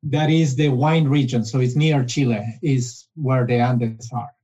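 Man with a mellow, halting voice. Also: mid-range voice, steady tone, muffled recording. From Argentina. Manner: halting, restarts phrases often. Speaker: guest, business development director. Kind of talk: casual interview conversation.

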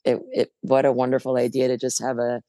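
Older American woman guest, low gravelly voice, hesitant, laughs easily, dry close sound. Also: completely flat delivery, fairly quick, warm tone, no room sound. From New Jersey, USA. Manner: reflective, hesitant, laughs often. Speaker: guest musician. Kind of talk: casual interview chat.

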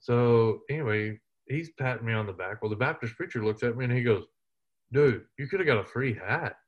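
A man's voice: mid-range voice, a little fast, highs cut off. A man from West Texas, telling humorous stories as an interview guest. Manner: lighthearted, humorous storyteller. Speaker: interview guest, military veteran. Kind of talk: storytelling in casual interview.

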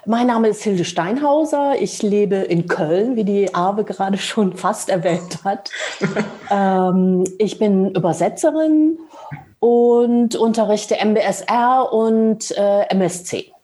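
Mellow-voiced older Rhineland woman. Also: medium pitch, slow. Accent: Rhineland German. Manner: hesitant, many fillers, thoughtful. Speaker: guest, meditation teacher and interpreter. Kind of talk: reflective personal account in a podcast.

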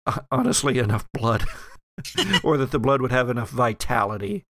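A man working as game master, narrating a horror tabletop game and thinking aloud in a halting way. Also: medium pitch, big pitch swings, fairly bright tone.

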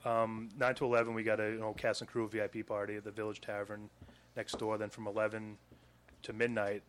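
A man, a guest filmmaker, briskly running through event details in casual chat. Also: lowish voice, a little hiss or hum. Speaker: guest, filmmaker promoting a premiere.

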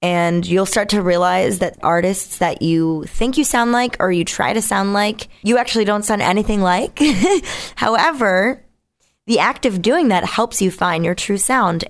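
A woman with a naturally raspy voice, a singer being interviewed, talking casually in a candid, reflective way. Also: mid-range voice, moderate pace. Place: radio studio.

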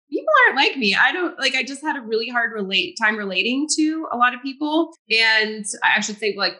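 A woman's voice: mid-range voice, sharp tone. A woman who grew up in France with French as her first language, talking earnestly and reflectively as an interview guest, laughing now and then. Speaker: guest, psychic. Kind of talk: casual interview conversation.

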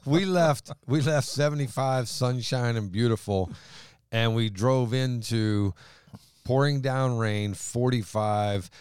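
Smooth-voiced man discussing scripture. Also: mid-range voice, slow, darkish tone, dry recording, clean studio sound. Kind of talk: casual religious discussion, citing scripture.

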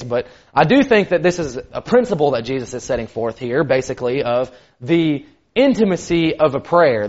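A man giving a sermon in a loud, carrying voice, casual and conversational with lots of "kind of". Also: medium pitch. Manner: loud voice that carries; conversational, many fillers like "kind of". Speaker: preacher. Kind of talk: sermon teaching. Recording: dull lo-fi recording.

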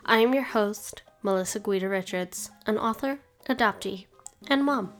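A woman's voice: medium pitch, moderate pace, faint music behind. A woman hosting a podcast who reads a scripted intro and then asks her guest questions in a friendly way. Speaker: podcast host. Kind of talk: podcast interview.

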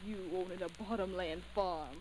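A woman's voice: lowish voice, moderate pace, a little hiss or hum, natural voice, poor audio. An actress playing a sweet but sassy young backwoods girl with a rural Southern US accent, in old radio drama style. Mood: indignant, sassy. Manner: animated, folksy backwoods dialect.

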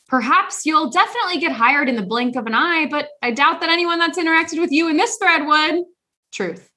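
A woman podcast host with a fairly high voice. She talks casually and with animation, rambling through her points with fillers and restarts. Her reactions come across as lively and exasperated. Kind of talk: casual chat.

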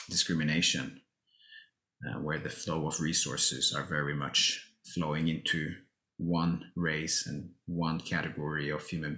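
A man from Norway speaking in a measured, thoughtful way with some hesitations, hosting a reflective conversation. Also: rumbling low, pushed and bright, roomy sound.

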